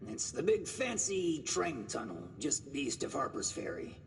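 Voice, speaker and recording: An actor playing an easygoing caravan guard in a video game, a loyal underling with a casual, expressive delivery. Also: male voice, a little high, a little slow, creaky, big-room sound, recorded from a distance, a little hiss or hum, full character voice. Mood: matter-of-fact. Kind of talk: video game character dialogue.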